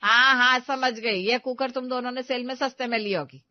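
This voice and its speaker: A woman's voice: a little high, fairly even intonation, normal speed, dry recording, lo-fi sound, pitched above the performer's own voice, lightly acted. A woman voicing a bossy, middle-aged village mother-in-law who scolds and gives instructions. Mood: incredulous.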